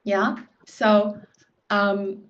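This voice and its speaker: A woman hosting a live online quiz over a video call, chatty and relaxed with plenty of fillers. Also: medium pitch, drawn-out words. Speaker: quiz host. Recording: dull lo-fi video call audio.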